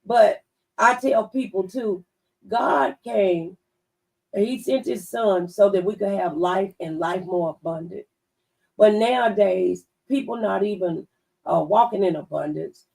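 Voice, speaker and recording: A woman's voice: mid-range voice, a little slow, creaky, a little mellow, distant mic, low-quality recording. A woman hosting a radio talk show, speaking emphatically as if giving testimony. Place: radio studio.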